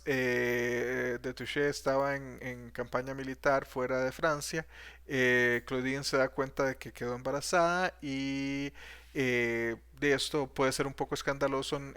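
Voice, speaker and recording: Man, lecturer, unhurried, clear resonant tone, lots of hesitations. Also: medium pitch, steady tone, a bit bright. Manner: hesitant, frequent fillers and restarts. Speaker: math history teacher. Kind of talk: unscripted video lecture.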